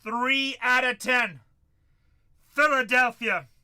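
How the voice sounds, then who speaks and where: High bright-voiced man hosting a card break. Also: takes it slowly, clean tone. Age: 40s. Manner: banters with chat, calls out cards loudly. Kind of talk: live-stream card break chat.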